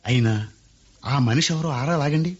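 An actor playing a scheming landowner who plots persuasively over family land. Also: male voice, medium pitch, normal speed, husky, natural voice. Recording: dull lo-fi radio recording.

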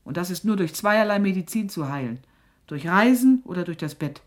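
A woman's voice: fairly low pitch, animated, a little fast, natural voice. An actress playing a suspicious, scolding middle-aged mother with an earthy, sarcastic manner in a radio drama. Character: stern, sharp-tongued, suspicious mother of a young woman.

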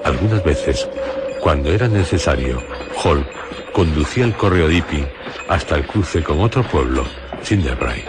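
A man narrates a radio play with a Castilian accent. His voice is extremely deep, and he delivers each phrase slowly and solemnly. Loud music plays behind him throughout. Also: animated. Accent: Spain. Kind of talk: scripted radio drama narration. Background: prominent music.

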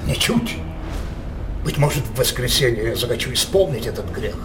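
An actor playing a scheming, depraved king in a theatrical radio drama, declaiming imperiously with teasing pauses. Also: medium pitch, expressive, lightly acted. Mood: sly, provocative. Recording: studio radio play over loud music.

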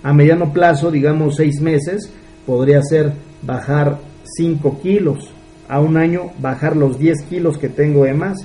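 Mexican man in his 30s, motivational mentor, mellow warm tone, slightly high voice, faint hiss behind. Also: fairly even intonation, a little slow. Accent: Mexican Spanish. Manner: earnest, coaching, asks rhetorical questions. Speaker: business mentor. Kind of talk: motivational talk.

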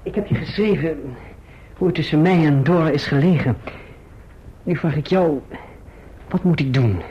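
An actor playing an earnest, lovelorn young gentleman in a radio drama, frank and emphatic. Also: a little high, moderate pace, slightly rough. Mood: troubled, pleading. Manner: earnest, emphatic, well-spoken. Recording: muffled, noisy old radio recording.

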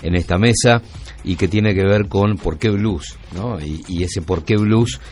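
A man with a Buenos Aires Argentine accent hosting a conversational radio interview, asking long, reflective questions with pauses and repeated words. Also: low-pitched, a little mellow. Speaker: radio show host interviewing musicians.

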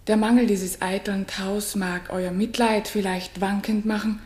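Wronged queen played by a woman, proud and solemn, unhurried classical radio-drama delivery, echoing room. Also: mid-range voice, fairly even intonation, a little mellow, lightly acted. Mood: proud, pained. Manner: measured, solemn, declamatory. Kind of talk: classical German radio play stage acting.

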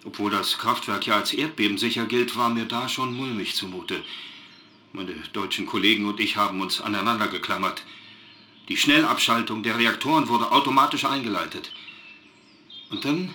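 Sharp-voiced, earnest male eyewitness engineer. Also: lowish voice. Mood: shaken, earnest. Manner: earnest, halting, precise recounting. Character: shaken but factual German engineer, male eyewitness by phone. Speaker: eyewitness interviewee. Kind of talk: radio drama news interview. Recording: noisy live news link.

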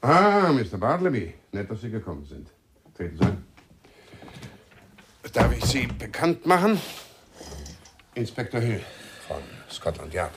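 An actor playing a courteous, insinuating police inspector in a German radio crime drama, speaking in a polite, deliberate, probing way. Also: male voice, medium pitch, wildly swooping pitch, background noise.

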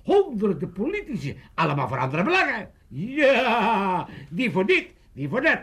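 An actor playing an excitable, self-important older father in a comic radio play, rambling and stammering pompously. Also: high voice, moderate pace, breathy edge, exaggerated voice. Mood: excited, pompous. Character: excitable self-important old Amsterdam father, dreaming of politics.

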